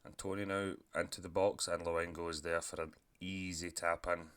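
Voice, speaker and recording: Male gaming commentator, deep voice, sore throat, relaxed chatty delivery with frequent throat-clearing. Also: moderate pace. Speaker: gaming YouTuber. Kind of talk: casual commentary over a football management game.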